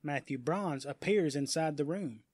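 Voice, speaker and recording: A man reads scripted dramatic narration. His voice is a little high and his pace slow. The recording is dry. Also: a little mellow, breathy edge, no echo. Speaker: audiobook narrator.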